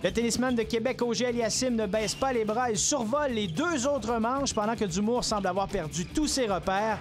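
Crisp sports anchor, high voice. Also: male voice, background noise. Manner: crisp, polished delivery. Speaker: sports show host. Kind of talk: news anchoring and interviewing.

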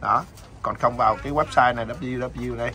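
A man's voice: medium pitch, steady tone, fast, bright, forceful tone. A man speaking informally and a little hesitantly, adding casual promotional comments from behind the camera. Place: outdoors at a building entrance.

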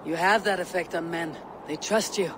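An actor playing a steadfast, loyal and wry male Viking warrior in a video game, speaking in a firm, measured way. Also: a little high, noisy background, natural voice. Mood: concerned. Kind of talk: video game cutscene acting.

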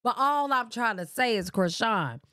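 A woman in her thirties hosting a livestream, reacting and talking straight to her viewers in a sassy, animated way. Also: on the high side, normal speed, no echo, studio quality. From Michigan. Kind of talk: casual livestream reaction commentary. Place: bedroom streaming setup with pink lights. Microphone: desk mic in front of her.

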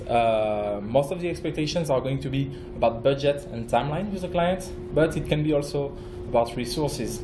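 A man from France with a French accent, giving a conference talk as a project manager, halting, with many fillers and restarts. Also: medium pitch, reverberant, background noise. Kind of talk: conference presentation. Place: conference room.

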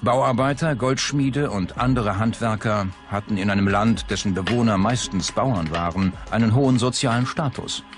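A man's voice: on the low side, normal speed, few pauses. Male documentary narrator over prominent music. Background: background music.

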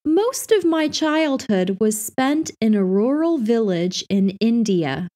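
A woman reading everyday practice phrases for an English lesson in a clear, carefully enunciated scripted delivery. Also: on the high side, big pitch swings, slow pace, mellow.

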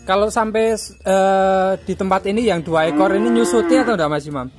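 A man's voice: sits high, fairly quick, music in the background. A man giving casual live commentary and questions as host of a livestock vlog. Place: outdoors in a village yard and cattle shed. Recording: outdoor farm, chatter and cattle behind.

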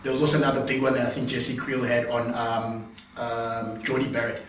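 A man explains tactics in a measured, analytical way on a studio panel. His mid-range voice is heard with a roomy echo, picked up from a distance. A faint hiss sits underneath. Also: mid-range voice, completely flat delivery. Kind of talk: casual studio sports analysis. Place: small studio room, indoors.

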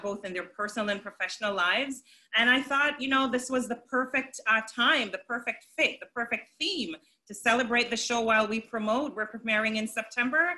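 A woman's voice: a little high, pushed and bright. A middle-aged woman, a show's creator, speaking enthusiastically about her series in a video-call interview. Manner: enthusiastic, promotional. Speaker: show creator and executive producer.

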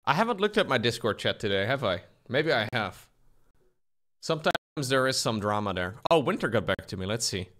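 A young man live-streaming from his room, talking to chat with a bouncy, animated delivery. He jokes around and reacts freely, his pitch sweeping up and down as he goes. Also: a little fast. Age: young adult. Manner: lively, joking, reads chat aloud. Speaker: streamer editing a video. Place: small room at home, indoors. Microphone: desk mic, wearing headphones, webcam framing.

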